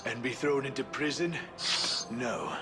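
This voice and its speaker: A man's voice: medium pitch, measured pace, lightly acted. A man voicing a shifty, cornered alien ambassador in a video game, pleading and evasive in formal phrases. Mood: anxious. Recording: music behind the voice.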